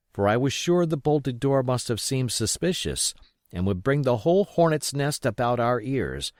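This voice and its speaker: A man narrates an adventure story as an audiobook reader. His voice sits on the low side and moves through a wide, animated range. The sound is close and dry, with an expressive scripted delivery. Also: no echo.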